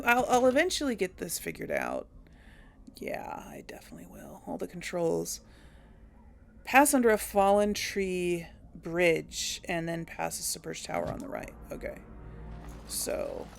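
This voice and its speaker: Woman let's-play host, lively pitch, unhurried, thinks aloud with chuckles. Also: gravelly. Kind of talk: casual gameplay commentary.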